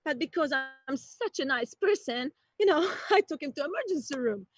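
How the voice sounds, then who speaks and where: High-voiced woman from Croatia joking. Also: no room sound. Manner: chatty, playful, slow deliberate delivery with bursts of excitement. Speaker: stand-up comedian. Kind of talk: stand-up comedy set streamed from home. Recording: dull lo-fi stream audio.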